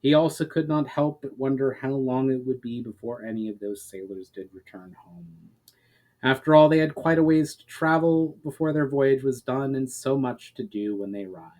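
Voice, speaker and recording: A man's voice: mid-range voice, moderate pace, warm tone, poor audio. A man reading his own story aloud as a dramatic scripted narration.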